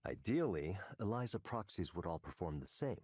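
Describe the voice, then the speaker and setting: A man's voice: deep voice, sing-song, normal speed, a little mellow, breathy edge, dry recording, clean studio sound, full character voice. An actor playing a polished, self-assured male tech executive in a scripted video game. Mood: smooth, self-assured. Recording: music behind the voice.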